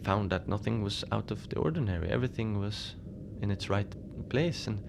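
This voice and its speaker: Over a clearly audible bed of noise, a man acts out a lonely station keeper in a cozy horror audio drama. His low voice has a lot of gravelly fry. He talks mildly and haltingly, treating strange things as everyday matters. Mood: puzzled, matter-of-fact. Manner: halting, conversational, understated storytelling with small hesitations. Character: lonely, mild, unbothered polar station keeper telling strange tales. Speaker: narrator of a fiction podcast. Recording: steady noise behind the voice.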